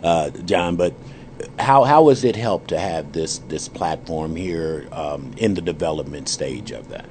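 A man's voice: low-pitched, unhurried, a little mellow. A man who works with young people, asking long winding questions in a group discussion. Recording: background noise.

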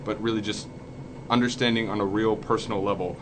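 A man's voice: fairly low pitch, flat delivery, fairly quick. A young man, a student, talking earnestly in a group discussion and voicing his own questions as he goes. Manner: earnest, acts out questions in quotes. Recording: background noise.

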